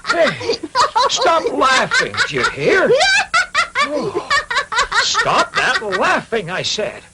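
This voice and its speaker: A man's voice: very high-pitched, wildly swooping pitch, very slow, heavy background noise, heavily acted. An actor playing a kindly old woodcarver and father, muttering and exclaiming as he gets exasperated, in a cartoon dub. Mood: exasperated shouting. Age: older. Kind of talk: animated cartoon dub acting. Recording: music behind the voice.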